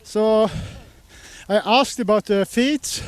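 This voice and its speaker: A man, reporting live outdoors with a handheld mic while walking up a hill, halting with many pauses. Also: high-pitched, smooth, dry recording. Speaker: trackside race reporter. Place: outdoors on a grassy hillside. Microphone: handheld microphone.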